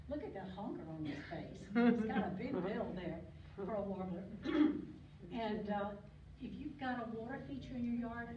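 Older woman, chatty podium talk, ums and throat clearing, distant mic, muffled noisy hall. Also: medium pitch, warm tone. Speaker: guest speaker on local birds. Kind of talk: informal slide talk. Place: lecture hall. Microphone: podium mic, recorded by a far camera.

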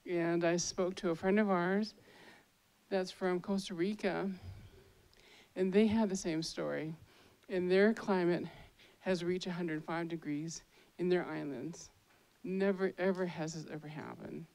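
A woman's voice: fairly low pitch, steady tone, measured pace, darkish tone. A woman speaking from the audience in a large hall, earnest and emphatic, into a handheld mic. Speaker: audience member. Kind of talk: public comment at a lecture.

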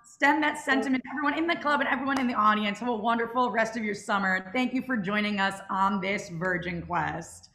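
Bright young woman, roomy call. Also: mid-range voice, fairly quick, hardly any pauses. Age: young adult. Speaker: host. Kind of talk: casual event hosting. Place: indoors on a video call. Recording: roomy, noisy video call.

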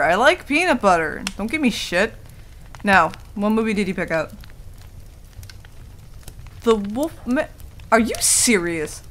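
A woman's voice: mid-range voice, fairly bright tone, natural voice. A woman playing a prickly, easily flustered tsundere werewolf in a casual ASMR roleplay, with a defensive, stammering manner. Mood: teasing, then skeptical, bantering. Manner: casual, defensive, stammering when embarrassed, swears. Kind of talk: intimate ASMR roleplay acting. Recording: clearly audible background noise.

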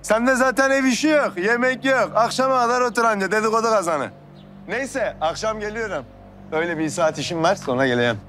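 A man's voice: high voice, fairly quick, a bit bright, faint music behind. An actor in his thirties playing a brash, insistent suitor in a television drama, pushy and emphatic in a colloquial way. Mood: loud, indignant. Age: 30s. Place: outdoors on a city street.

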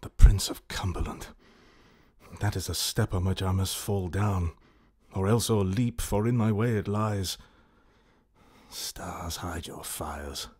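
An actor playing an ambitious warrior thane in a Shakespearean radio drama, speaking in a formal, declamatory way. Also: male voice, low voice, slow, darkish tone, slightly rough, full character voice. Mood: dark, brooding. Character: ambitious Scottish warrior thane, outwardly loyal, secretly scheming.

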